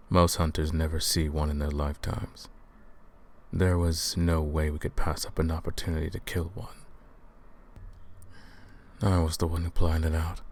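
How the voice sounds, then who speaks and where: An extremely deep male voice with a gravelly creak, a man acting as an ancient vampire who hunts other vampires. He is brooding, menacing and world-weary, speaking in measured phrases as if to one listener in an ASMR audio roleplay. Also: a little mellow, heavily acted. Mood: grave, regretful. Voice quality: low, gravelly.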